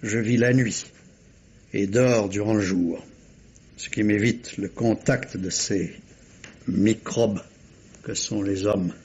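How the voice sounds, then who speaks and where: A man acting a courteous but haughty, misanthropic gentleman in a 1950s-style French radio drama, with polished, disdainful manners. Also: lowish voice, steady tone, takes it slowly, long pauses, slightly rough. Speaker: actor in a radio mystery. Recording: dull, band-limited vintage radio recording.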